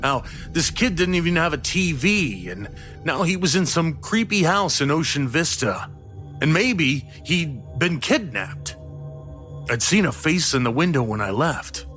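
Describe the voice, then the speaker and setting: A man's voice: fairly high pitch, expressive, measured pace, fairly bright tone. Man narrating horror over prominent music. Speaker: story narrator. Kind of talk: dramatic first-person horror story narration. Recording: music behind the voice. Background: prominent background music.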